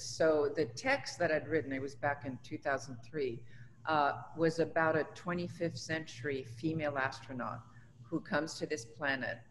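Older woman in a reverberant room, reminiscing. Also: deep voice. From Tunisia. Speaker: artist being interviewed. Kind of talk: conversational personal recollection. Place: indoors in an art studio. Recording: reverberant room, faint background noise.

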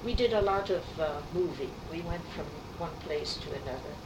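A woman in her eighties, raised speaking French, telling her life story in an unhurried, reminiscing way for an oral history interview. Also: low-pitched. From New York City. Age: 80s. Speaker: artist interviewee. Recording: roomy, distant, noisy tape.

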